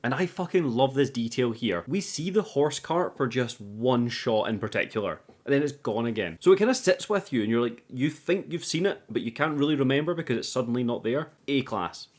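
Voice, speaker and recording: A man hosts a movie review in a scripted but casual style. His voice sits at a medium pitch, and he talks informally, swearing freely as he shares his enthusiasm. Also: expressive, brisk, hardly any pauses.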